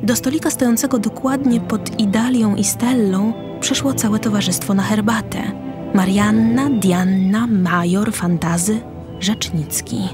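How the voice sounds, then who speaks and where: A woman's voice: mid-range voice, measured pace. A woman reading scripted narration for an audio drama, describing the action as narrator. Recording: music under the voice. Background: music.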